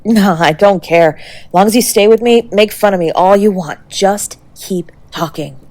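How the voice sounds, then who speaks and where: A woman playing a sharp-tongued but tender young woman who protects a lover, in an intimate acted audio roleplay, bluntly affectionate and halting when emotional. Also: medium pitch, natural voice. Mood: worried, teasing.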